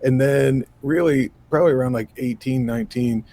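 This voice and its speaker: A man in his thirties from New York State with a low, raspy voice, a musician guest talking casually in an interview, animated and rambling with lots of fillers. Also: normal speed, slight background noise.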